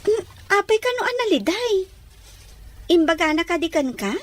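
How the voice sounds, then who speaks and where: A woman's voice: very high-pitched, very animated, moderate pace. A woman playing a young, sensitive, playful girl in an audio drama. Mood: curious.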